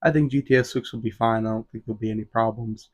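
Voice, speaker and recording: Young male podcast host, 20, fast and rambling, filler-heavy solo chat. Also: on the low side, steady tone, breathy edge. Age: 20s. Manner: rambling, many fillers like "you know" and "um".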